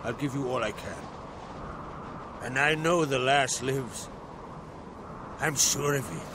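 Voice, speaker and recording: An actor playing an older island king and father in a video game cutscene, speaking in a steady, measured, paternal way. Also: medium pitch, noisy background, lightly acted. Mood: calm, paternal. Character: older jarl, father of two grown children. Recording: game audio with music behind.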